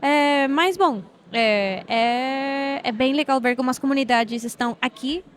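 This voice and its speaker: A woman with a Spanish-speaking Latin American accent talks as a podcast guest, her voice sitting high. She hesitates often, filling gaps as she searches for words in a second language. Faint noise hums behind her. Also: a little slow. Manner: hesitant, many fillers, mixes in Spanish grammar. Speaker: guest, developer relations lead. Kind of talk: casual interview chat. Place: event podcast set.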